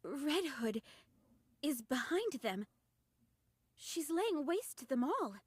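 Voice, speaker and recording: A woman's voice: high-pitched, sing-song, slow, dry recording, exaggerated voice. A woman playing a composed, commanding young android squad leader in an anime-style game. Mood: stunned. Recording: music playing behind the voice.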